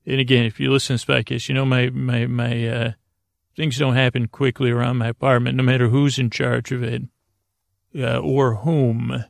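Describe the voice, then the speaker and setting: A man with a gravelly, creaky voice hosting a sleep podcast, rambling in a halting, whimsical way with silly asides and self-interruptions. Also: mid-range voice, level tone, dry recording, clean studio sound. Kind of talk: rambling, whimsical bedtime monologue.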